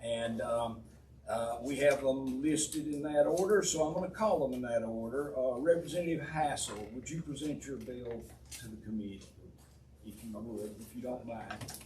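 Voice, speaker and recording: A man's voice: mid-range voice, moderate pace, darkish tone. A man chairing a legislative committee meeting in a folksy, polite way with frequent filler uhs. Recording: echoey hearing room, distant mic, noisy.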